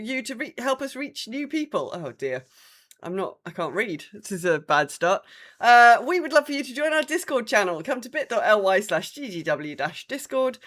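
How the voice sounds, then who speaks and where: A woman's voice: mid-range voice, a bit bright. A woman co-hosting a casual gaming podcast, chatting and reading out comments in an informal way. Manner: informal, conversational. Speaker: podcast co-host.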